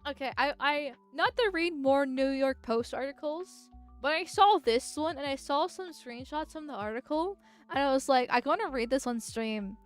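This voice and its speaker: High, nasal-voiced woman streamer. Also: normal speed, faint music behind. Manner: rambling, giggly, with frequent filler words. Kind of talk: casual livestream chat.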